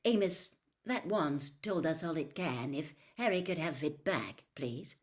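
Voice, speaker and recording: A woman voicing a tired, sensible father in a storybook, quietly firm with some tension in the delivery. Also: sits low, lively intonation, unhurried. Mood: quiet firmness. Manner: quiet, firm, somewhat tense.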